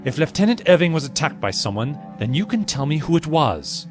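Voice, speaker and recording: A man's voice: fairly high pitch, big pitch swings, brisk, soft background music. A man playing a stern, suspicious nineteenth-century naval officer in an audio drama. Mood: accusing, scornful. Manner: stern, accusatory.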